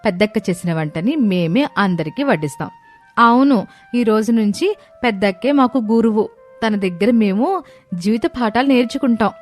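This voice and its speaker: A woman's voice: on the high side, animated, moderate pace, soft background music. A woman voicing a proud, rich young daughter-in-law in a family story, speaking emphatically. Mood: respectful. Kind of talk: scripted story dialogue.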